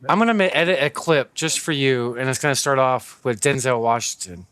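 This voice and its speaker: A man's voice: mid-range voice, animated, a little fast, a bit bright, clean tone, dry recording. A man hosting a sports podcast, hyping up and plugging his guest in a casual way, with frequent fillers. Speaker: podcast host.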